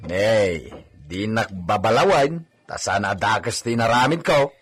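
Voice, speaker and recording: A man acting an eager, deferential villager serving a rich landowner in an Ilocano comedy radio drama, with an Ilocano accent and an animated, fawning manner. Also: lowish voice, measured pace, breathy edge. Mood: animated, defensive.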